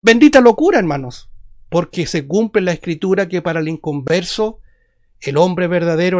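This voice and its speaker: Male evangelical preacher, Chilean accent, high voice, teaching scripture with emphasis and repetition. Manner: didactic, emphatic, repeats phrases and asks rhetorical questions. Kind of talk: sermon, Bible teaching.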